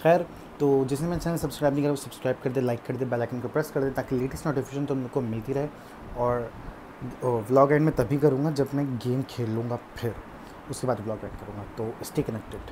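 A young man chatting casually to a phone camera held at arm's length, in an excited vlog style. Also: medium pitch, fast talker, background noise. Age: young adult. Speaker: vlogger. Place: indoors at home at night, dim room. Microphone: phone held at arm's length, earphones.

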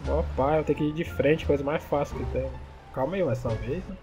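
A young Brazilian man with a Brazilian Portuguese accent rattles off jokes and slang as he plays, his voice sitting a little high. He talks quickly and jumps around, with faint music running underneath. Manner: excitable, joking, chatty slang. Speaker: gaming YouTuber. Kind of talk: casual gameplay commentary.

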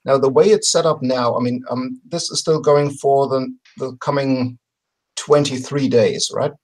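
A man in his forties chatting casually as a guest on a video call, explaining things haltingly and thinking aloud. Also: medium pitch, steady tone, a little mellow. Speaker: podcast guest. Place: home room with bookshelves. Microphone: computer mic on a video call.

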